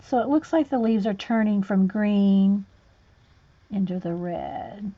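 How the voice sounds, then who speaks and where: A woman talks slowly and easily through a painting livestream, answering viewers' comments as she works at her table. She drifts into soft, half-muttered asides about her brush and colors. Her voice sits at an ordinary pitch, and the audio sounds dull and lo-fi. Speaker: art livestream host. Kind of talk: casual livestream talk while painting. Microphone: overhead camera on hands and page.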